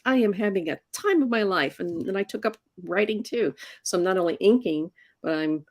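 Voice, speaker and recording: A woman in her sixties, a comics inker, talking casually in an interview and often restarting her words. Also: lowish voice. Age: 60s. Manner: chatty, repeats words when restarting.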